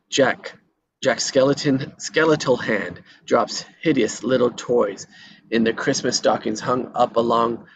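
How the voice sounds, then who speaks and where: A man narrating a screenplay's action lines at a table read, sometimes tripping over and repeating words. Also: medium pitch. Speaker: reader of stage directions. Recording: dull lo-fi sound with background noise.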